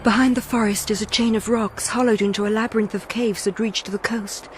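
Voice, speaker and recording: An actress with a British accent playing an anxious, gentle young noble maiden in a gothic radio drama, with formal period diction. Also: female voice, medium pitch, steady tone, a little fast, slight background noise, natural voice. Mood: tender, urgent.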